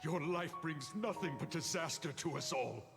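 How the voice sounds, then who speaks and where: A man's voice: a little high, moderate pace, vocal fry. An actor playing a cold, menacing, accusing man in a video game cutscene, with ominous delivery. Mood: cold menace. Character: cold, menacing man condemning a cursed child. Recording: long cavernous reverb, music behind.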